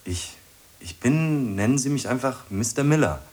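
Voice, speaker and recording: A man's voice: medium pitch, lively intonation, darkish tone, natural voice. An actor playing a hesitant, lonely, obsessive man who stumbles and repeats himself in a radio play. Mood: awkward, pleading. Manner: halting, repeats himself, muttering to himself.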